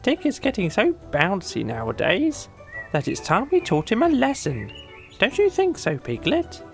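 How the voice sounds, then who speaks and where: A woman's voice: medium pitch, huge pitch swings, moderate pace, vocal fry, faint music behind, pitched above the performer's own voice. A woman voicing a self-important, scheming male rabbit, earnest and emphatic, in a children's audiobook. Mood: earnest, bossy. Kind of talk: children's audiobook character acting.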